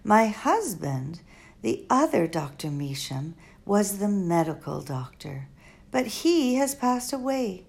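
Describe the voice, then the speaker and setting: A woman's voice: medium pitch, very animated, slow, vocal fry, darkish tone, full character voice. Storybook role: eccentric elderly woman scholar, put on by a female narrator, formal and rambling, lilting delivery. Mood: musing. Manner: stilted formal phrasing, musing. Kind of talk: audiobook character reading.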